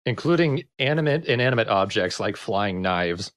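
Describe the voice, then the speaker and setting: A man's voice: on the low side, animated, moderate pace, fairly bright tone. A man giving casual co-commentary on a video game in conversation. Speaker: let's play co-commentator. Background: video game music.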